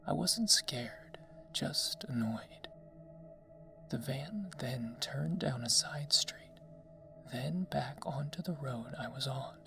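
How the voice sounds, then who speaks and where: A narrator reading a scary story in a hushed ASMR whisper, slow and deliberate. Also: medium pitch, vocal fry. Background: background music.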